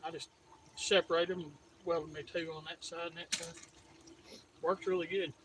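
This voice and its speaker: A man's voice: a little high, little pitch movement, normal speed. A man in his fifties, a prospecting buddy talking in a laid-back, plainspoken way outdoors. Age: 50s. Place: outdoors by a creek. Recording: distant voice over creek noise.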